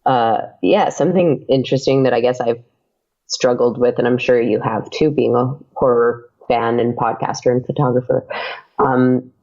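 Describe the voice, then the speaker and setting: A woman's voice: a bass voice, steady tone, moderate pace, warm tone, highs cut off. A woman guest in a casual podcast interview, chatty, with many fillers and restarts.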